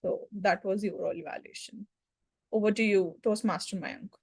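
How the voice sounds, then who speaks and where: A woman giving a measured, slightly hesitant speech evaluation at a club meeting over a video call. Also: medium pitch, steady tone, vocal fry, darkish tone, slightly rough, no echo.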